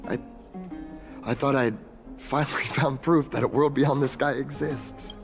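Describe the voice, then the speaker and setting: A man's voice: mid-range voice, fairly even intonation, unhurried, music in the background, lightly acted. An actor playing a curious, slightly hesitant city man in a video game, eager to believe in other worlds. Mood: curious, wistful.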